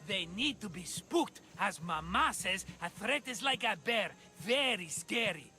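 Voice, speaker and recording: A man playing an excitable, scheming merchant in a video game, chatty, dramatic and wheedling. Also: high-pitched, a little slow, soft background music, full character voice. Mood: scheming, excited. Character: excitable scheming cheerful male merchant, always broke. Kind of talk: video game cutscene acting.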